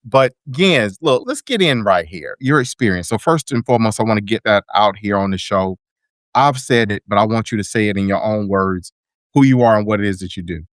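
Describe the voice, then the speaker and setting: A man hosting a radio talk show, energetic and folksy, full of shout-outs and hype. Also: on the low side, fairly quick, dry recording, studio quality.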